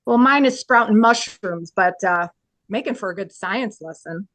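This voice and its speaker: A woman playing a tough, streetwise grade-school teacher in a sitcom table read, blunt and deadpan. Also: mid-range voice, moderate pace, no echo, close to an everyday voice. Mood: dry, blunt.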